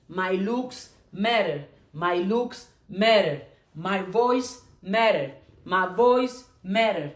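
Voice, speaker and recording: A woman's voice: medium pitch, reverberant. A woman hosting a motivational live stream, chanting repeated affirmations and inviting viewers to join and ask questions. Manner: bursts into excited cheering.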